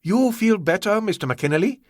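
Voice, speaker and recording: A suave crime boss with a silky, quietly threatening voice, as a male narrator puts him on. The voice sits high above the reader's own and swings lively. It is courteous on the surface and cold underneath, recorded dry and close. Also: normal speed, no room sound. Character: suave, soft-spoken, menacing older male crime boss.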